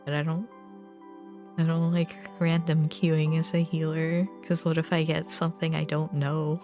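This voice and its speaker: A woman's voice: sits low, little pitch movement, moderate pace, warm tone, soft background music. A woman livestreamer chatting casually with viewers in a rambling, self-deprecating way. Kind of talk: casual gaming stream chat.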